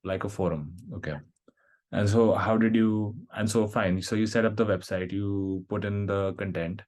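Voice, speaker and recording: A man hosting a casual interview podcast, asking questions in a relaxed way with many fillers. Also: on the low side, moderate pace. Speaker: podcast host.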